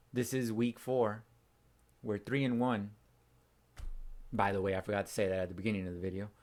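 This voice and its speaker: An excitable young man with a hoarse, worn-out voice from a day of screaming. He talks in loose, slangy bursts, his pitch sitting in an ordinary middle range. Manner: excitable, slangy, says bro a lot. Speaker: fan channel host. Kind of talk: casual post-game reaction.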